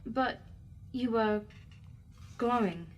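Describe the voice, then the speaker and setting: A young woman playing a feisty, informal, excitable ghost-hunting vlogger in an audio drama. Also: a little high, very slow. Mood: indignant. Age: young adult.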